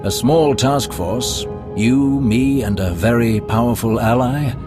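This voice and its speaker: An actor playing a smooth, scheming male Sith lord who speaks in a courtly, ingratiating, measured way. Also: mid-range voice, close to an everyday voice. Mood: suave. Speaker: Imperial schemer. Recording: game cutscene over loud music.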